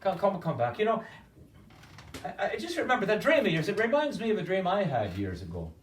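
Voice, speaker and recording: A man's voice: fairly high pitch, very animated, normal speed, roomy sound, far from the mic, a little hiss or hum. A man voicing a hearty, brusque older innkeeper. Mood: eager. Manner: brusque, scolding, jovial.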